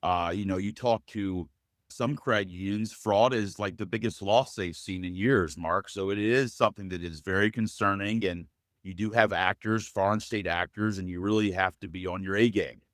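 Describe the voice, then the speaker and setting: A man with a deep, loud voice talks earnestly as an interview guest. His pitch sits low and his words come in measured, considered phrases. The sound is close and dry, with no room around it. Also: steady tone, no room sound. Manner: earnest, measured, addresses host by name often. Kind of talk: interview conversation.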